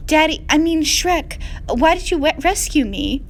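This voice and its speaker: A woman's voice: high-pitched, expressive, unhurried, pitched above the performer's own voice. A woman voicing a naive, melodramatic young heroine of a parody fanfiction, by turns wailing and shy. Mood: timid, breathy longing. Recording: clear background noise.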